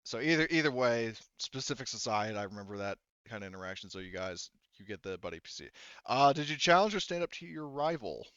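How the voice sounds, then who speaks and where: A man's voice: mid-range voice, animated, fast talker, a bit bright, smooth, no echo, dull audio. A man running a tabletop game as game master, talking casually to his players in an informal, sweary, rambling way.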